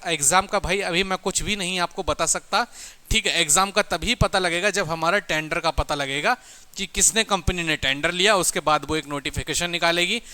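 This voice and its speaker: A man's voice: fairly high pitch, pushed and bright, smooth. A man giving an informal motivational exam-coaching talk in an emphatic, repetitive, exhorting manner. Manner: emphatic, repetitive, exhorting, addressing listeners as brothers and friends.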